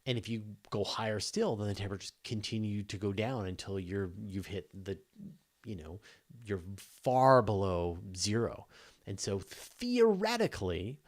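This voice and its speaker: A man's voice: lowish voice, lively intonation, normal speed. A man hosting a casual live question-and-answer session, explaining things step by step in an enthusiastic, conversational way. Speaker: host of a live astronomy Q&A.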